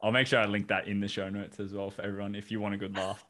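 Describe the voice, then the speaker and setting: A young Australian man hosting a podcast over a video call, talking in a relaxed, encouraging way. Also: fairly low pitch, quick pace. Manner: relaxed, encouraging, "you know" fillers. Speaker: podcast host. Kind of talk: casual video-call interview. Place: home office, indoors.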